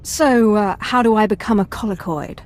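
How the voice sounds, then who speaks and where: A woman's voice: mid-range voice, normal speed, darkish tone, lightly acted. A woman playing a cool, dry-witted alien Sith apprentice in a video game, with controlled delivery. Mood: determined, dry. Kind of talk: video game cutscene acting.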